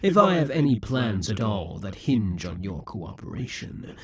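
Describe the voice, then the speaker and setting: A man voicing a possessive, scheming male demon king in a scripted audio roleplay, speaking in slow, breathy half-whispers. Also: on the low side, very animated, a crowd in the background. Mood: frustrated, incredulous.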